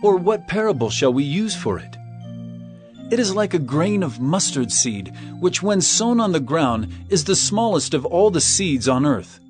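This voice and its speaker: A commanding bible teacher preaching parables, highish lively voice, emphatic, music behind. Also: male voice. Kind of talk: dramatized scripture reading.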